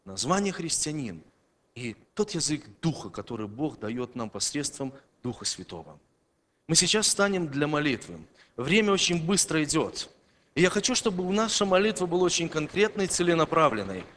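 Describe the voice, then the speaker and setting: Emphatic male preacher, higher voice. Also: very animated, moderate pace. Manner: emphatic, rising and dropping in loudness. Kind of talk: sermon.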